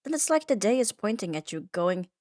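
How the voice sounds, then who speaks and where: A woman's voice: medium pitch, sing-song, a little fast, a little mellow, no room sound, studio quality. A woman delivering a scripted comfort ASMR monologue in an earnest, reflective manner, leaning on short emphatic phrases. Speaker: ASMR audio performer.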